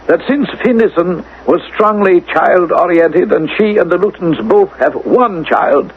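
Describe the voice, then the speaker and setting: An actor playing a formal, unbending government official in a futuristic radio drama, speaking with clinical precision. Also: male voice, high-pitched, husky. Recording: tinny, narrow old radio recording.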